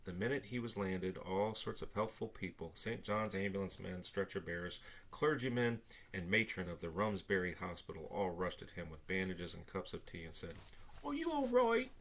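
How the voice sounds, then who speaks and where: An older man reading a children's story aloud with expressive narration. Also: deep voice, moderate pace.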